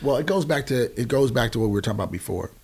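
A man's voice: mid-range voice, animated, very fast, a little mellow, husky. An American man in his forties explaining things conversationally as an interview guest, emphatically and often checking that the listener follows. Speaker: podcast guest, nonprofit founder.

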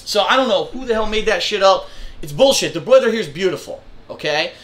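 A man's voice: high voice, animated, fairly quick, fairly bright tone. A man with a nasal, congested voice, ranting bluntly and profanely about his new home. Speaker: vlogger. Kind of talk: ranting monologue clip.

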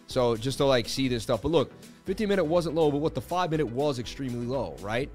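Host: man, going hoarse, boastful, excitable trading streamer, faint music bed behind. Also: medium pitch, fairly quick. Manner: hyped, boastful, laughing banter with chat. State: losing his voice. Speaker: crypto livestream host. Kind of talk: casual livestream market commentary.

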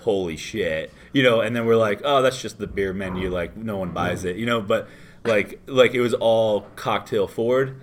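A man's voice: on the low side, moderate pace, slight background noise. A hungover American man in his thirties, a bartender telling loose, rambling stories as an interview guest. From United States. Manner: rambling, loose and animated storytelling. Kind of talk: casual interview chat.

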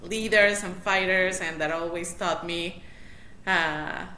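A woman's voice: fairly low pitch, steady tone, slow, sharp tone. A woman from Mexico City with a Mexican Spanish accent, chatting as an interview guest, laughing often and restarting her sentences. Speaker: guest, matchmaker. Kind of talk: casual interview. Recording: echoey room, faint noise.

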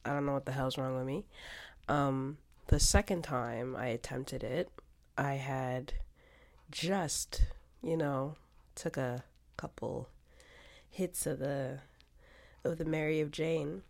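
Low, slow-talking congested woman. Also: darkish tone. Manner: rambling, frequent fillers and restarts. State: congested from a cold. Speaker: podcast host. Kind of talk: casual solo podcast talk.

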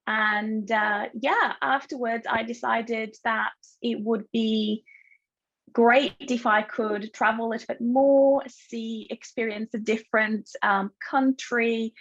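A woman in her thirties, originally from Bulgaria, answering interview questions in a friendly, thoughtful way, often pausing with fillers. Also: on the high side, a bit bright, highs cut off. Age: 30s. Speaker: guest, cloud solution architect.

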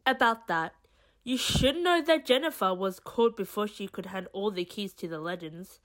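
A woman's voice: medium pitch, moderate pace, fairly bright tone, lightly acted. A woman playing a brash, sardonic female troublemaker in an audio drama, with a flippant way of talking. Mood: sheepish.

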